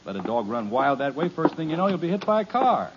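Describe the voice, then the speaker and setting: A man's voice: fairly high pitch, sing-song, fast talker. An actor playing an exasperated, sarcastic suburban father in a 1950s radio sitcom. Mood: grumbling. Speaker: father of the family. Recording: dull lo-fi old radio recording, faint hiss.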